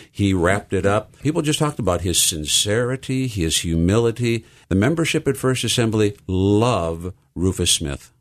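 Loud earnest pastor, low voice. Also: male voice. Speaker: pastor, guest. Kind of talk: casual interview talk. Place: studio room.

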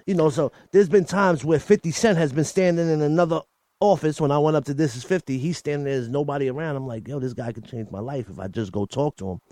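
A man's voice: on the high side, fast talker, darkish tone, no room sound. A man from Coney Island with a New York accent, talking candidly as an interview guest and often repeating himself and restarting. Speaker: guest, battle rapper. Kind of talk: casual interview talk.

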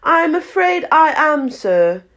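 A woman's voice: high-pitched, sing-song, takes it slowly, a bit bright, voice pushed up, exaggerated voice. A woman voicing a shy, polite and confused young girl from a storybook, explaining herself earnestly. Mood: polite, troubled.

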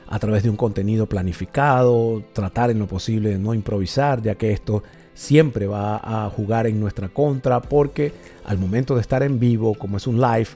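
A man hosting a podcast episode, giving measured, motivational advice on communication as a trainer. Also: lowish voice, dark tone. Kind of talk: instructional podcast monologue.

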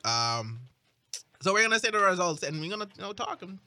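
A man hosting a casual anime podcast, talking in an excitable, emphatic way and often repeating himself. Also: high voice, fairly quick. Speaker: podcast host.

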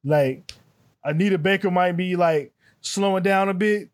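A man co-hosting a casual music podcast, animated and laughing often as he acts out quotes. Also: high voice, measured pace. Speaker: podcast co-host. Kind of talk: casual chat.

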